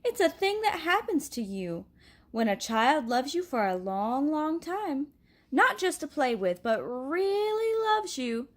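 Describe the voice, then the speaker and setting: A woman reading aloud and voicing a gentle, wise old male rocking horse toy in a slow, earnest, tender way. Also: sits high, big pitch swings, voice pushed up.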